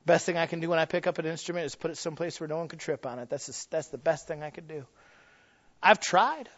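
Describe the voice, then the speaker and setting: A man with a fairly high voice delivers a homespun sermon, swinging between punchy emphasis and quiet asides. The recording is somewhat muffled and lo-fi. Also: quick pace. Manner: folksy, humorous, with emphatic rises and drops. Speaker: preacher. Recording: slightly dull lo-fi sound.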